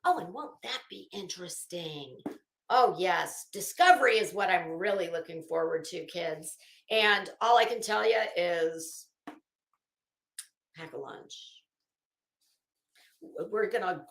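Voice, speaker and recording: A woman's voice: mid-range voice, a bit bright, reverberant. A woman hosting a live stream, reading aloud dramatically with mocking, drily sardonic commentary.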